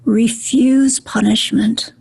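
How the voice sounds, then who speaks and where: Slow, breathy woman guiding meditation. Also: on the high side, fairly even intonation, dry recording. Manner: gentle, soothing, deliberate with pauses. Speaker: meditation guide.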